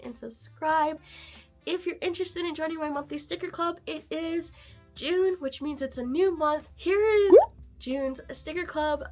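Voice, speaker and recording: A woman vlogger and artist vendor chatting casually to camera, hoarse and losing her voice from being sick, upbeat with frequent fillers. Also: sits high, a little mellow. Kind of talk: casual vlog chat to camera.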